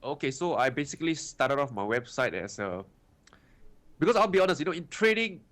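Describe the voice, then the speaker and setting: A man's voice: on the high side, sing-song, a little fast, fairly bright tone. A man in his twenties from Singapore with a Singaporean English accent, answering interview questions about his start in trading in a chatty way, often tagging "right" and "you know". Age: 20s. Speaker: guest trader.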